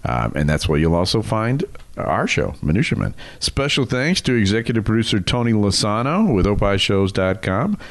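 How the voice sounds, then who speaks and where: A man with a slightly low voice and very lively pitch movement hosts a podcast. He banters casually and jokes around, sounding informal and quick to laugh. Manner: jokey, informal, laughs easily. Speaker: podcast host and interviewer. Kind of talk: casual banter and show promos.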